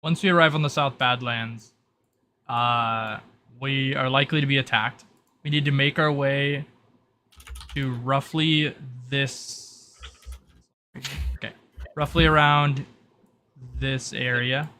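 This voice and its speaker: A man working as game master of a tabletop roleplay, explaining things haltingly as he goes. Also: medium pitch, steady tone, pushed and bright. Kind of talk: narrating a tabletop game, in and out of character. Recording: noisy mic with keyboard clatter.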